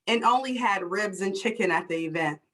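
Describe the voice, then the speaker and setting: A woman's voice: mid-range voice, fairly quick, a bit bright. A woman hosting a conversation, explaining passionately and emphatically with everyday scenarios and rhetorical questions. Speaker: host, DEI consultant.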